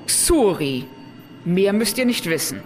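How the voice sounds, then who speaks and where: A woman's voice: medium pitch, big pitch swings, takes it slowly, a little mellow, soft background music. A woman playing a foul-mouthed, sarcastic space outlaw in a science fiction audio drama, curt and mocking.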